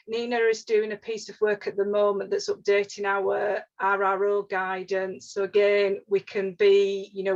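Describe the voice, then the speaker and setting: A woman with a Yorkshire accent, a regional advisor presenting a webinar, often repeating words and using fillers. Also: mid-range voice. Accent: Yorkshire English. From northern England. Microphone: video call. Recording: slightly dull lo-fi webinar audio.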